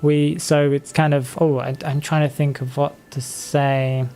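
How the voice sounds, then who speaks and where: Twenty-something guy streaming games, kinda high voice, chatty and giggly, soft music in the back. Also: little pitch movement, a little slow. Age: 20s. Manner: chatty, laughs often, restarts words. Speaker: game streamer reading chat. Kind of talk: casual live-stream chat.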